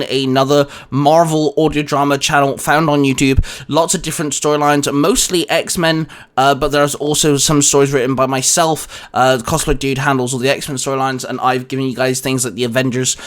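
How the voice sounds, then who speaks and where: A man hosting a casual podcast, talking in a wordy, explanatory way. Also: mid-range voice. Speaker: host and audio drama writer. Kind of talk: casual podcast chat and channel plugs.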